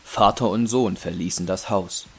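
A man narrating an audio drama as a solemn storyteller, with deliberate, grave delivery. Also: deep voice, slight background noise.

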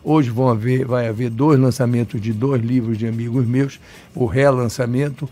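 A man's voice: medium pitch, fairly even intonation, moderate pace, warm tone. A man from Salvador with a Bahian accent, an urban planner giving long, emphatic, digressive answers in an interview. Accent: Bahian Brazilian Portuguese. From Salvador, Bahia, Brazil. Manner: talkative, emphatic, digressive with restarts.

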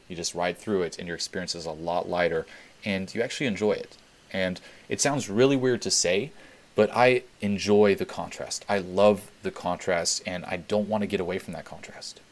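Young man from Switzerland, very deep voice, casual webinar talk, blunt and profane. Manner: candid, swears freely, emphatic repetitions. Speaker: webinar host.

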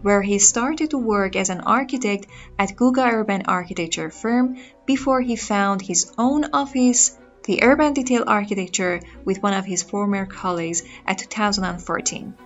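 A woman's voice: mid-range voice, normal speed, lo-fi sound, faint music behind. A woman whose first language is Persian, hosting a podcast and introducing her guest in an upbeat way. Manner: upbeat, laughing between phrases. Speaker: podcast host.

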